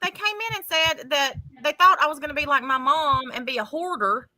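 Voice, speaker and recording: A woman chatting casually with friends on a video call, blunt and joking, quick to swear. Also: sits high, bright, forceful tone, no room sound, low-quality recording. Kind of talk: casual group chat on a live stream.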